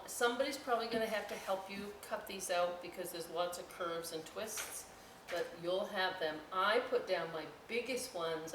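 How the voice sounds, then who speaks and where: A woman hosting a children's storytime, reading aloud and explaining crafts with folksy, chatty, expressive asides to young listeners. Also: lowish voice. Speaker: library storytime host. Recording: roomy echo, distant mic, faint noise.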